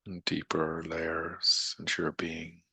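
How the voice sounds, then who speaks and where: A man leading a guided meditation in a soothing, unhurried manner with long pauses and gentle coaxing, his voice breathy and gravelly. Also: extremely low pitch, monotone, dry recording.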